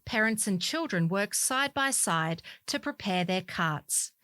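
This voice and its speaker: A woman's voice: medium pitch, expressive, a little slow, sharp tone, no room sound. A woman reading community newspaper stories aloud as scripted narration. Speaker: volunteer newspaper reader.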